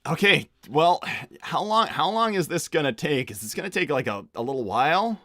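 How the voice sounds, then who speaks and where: A man's voice: a little high, expressive, normal speed, fairly bright tone, dry recording, studio quality, soft background music. A man playing a casual, awkward young cartoon hero in improvised livestream roleplay. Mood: hesitant, easygoing. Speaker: performer voicing game characters on a fan livestream.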